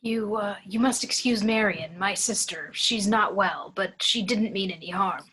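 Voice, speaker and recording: Woman playing a husky, nervous old funeral director. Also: medium pitch, a little slow, bright, forceful tone, low-quality recording. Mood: apologetic, polite. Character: kindly, nervous, hushed 73-year-old male funeral director. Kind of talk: at-home script table read.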